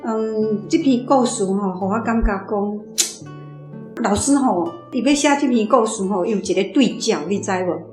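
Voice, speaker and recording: A woman's voice: mid-range voice, normal speed, darkish tone. Female panel guest, Taiwanese accent, mid-range conversational voice, echoing room with faint music. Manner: conversational, frequent tag particles. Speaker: panel guest, community college club member. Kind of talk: informal commentary on a story. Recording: roomy, faint music behind.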